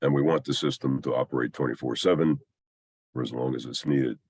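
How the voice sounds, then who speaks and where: A man with a scratchy, under-the-weather voice gives an explanatory technical slide presentation in a halting, hesitant way with long pauses. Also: rumbling low, fairly even intonation, a little mellow, breathy edge, no echo, clean studio sound. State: scratchy voice from a mild illness.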